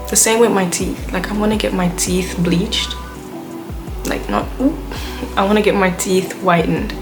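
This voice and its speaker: Rambling young woman vlogging. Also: medium pitch. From Belgium. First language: Dutch. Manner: chatty, rambling, heavy use of "like". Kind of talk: casual rambling vlog rant. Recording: music playing under the voice.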